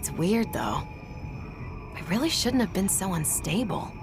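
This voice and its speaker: A woman's voice: mid-range voice, lively intonation, unhurried, close to an everyday voice. A woman playing a confident, blunt young female test pilot in a video game cutscene, with a casual, matter-of-fact delivery. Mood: easy, focused. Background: music.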